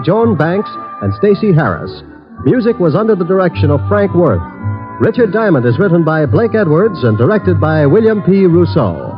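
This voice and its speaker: Animated, high-pitched male vintage radio announcer. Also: highs cut off. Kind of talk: 1940s radio announcing, scripted. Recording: old radio broadcast recording. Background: clear music.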